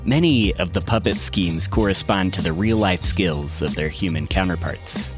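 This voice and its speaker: A man narrating a scripted documentary podcast in a measured, storytelling way. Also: deep voice, music in the background.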